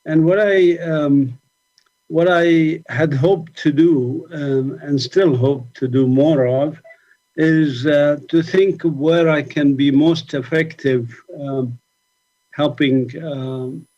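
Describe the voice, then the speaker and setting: Halting, thoughtful middle-aged man, muffled sound. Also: a little high, fairly even intonation, mellow. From Palestine. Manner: thoughtful, halting, many ums and restarts. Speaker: conference speaker. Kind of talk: talk about his life and activism.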